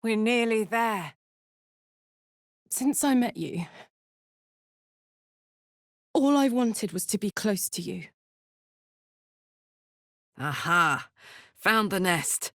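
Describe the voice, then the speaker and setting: Brash, big-hearted British warrior woman for a fantasy game. Blunt, earthy delivery, mid-pitched voice, very dry recording. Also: animated, slow pace, long pauses, no echo. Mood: tender, earnest, then confident. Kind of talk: fantasy video game voice acting.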